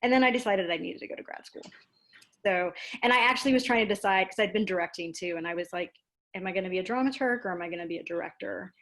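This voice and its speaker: An American woman being interviewed, speaking in a casual, animated storytelling way with self-deprecating asides. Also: medium pitch, fast talker. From Louisiana, United States. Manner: animated, self-deprecating, acts out quoted exchanges. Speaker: interview guest, theater dramaturg and producer.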